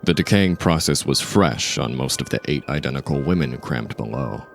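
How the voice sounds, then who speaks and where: A man narrating a dark audio drama in a measured, literary storytelling manner. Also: rumbling low, lively intonation, vocal fry, slight background noise. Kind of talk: scripted narration of dark fiction.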